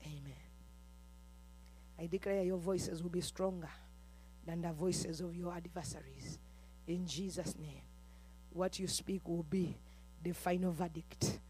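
A man's voice: high voice, fairly even intonation, measured pace, pauses often, darkish tone, slight background noise, poor audio. A man leading a fervent chanted prayer with rhythmic, repetitive declarations full of "uh", breaking into tongues between them. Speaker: preacher.

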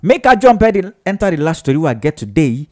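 A man's voice: a little high, fast, faint music behind. A man with a Nigerian Pidgin accent, hosting a casual, teasing gossip show. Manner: animated, chatty, teasing. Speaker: radio show host.

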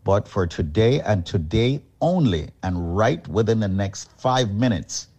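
Deep-voiced man selling on radio. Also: expressive, a little mellow, husky, lo-fi sound. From Jamaica. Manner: brisk, emphatic, repetitive. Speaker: radio host and company chairman. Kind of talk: radio sales pitch.

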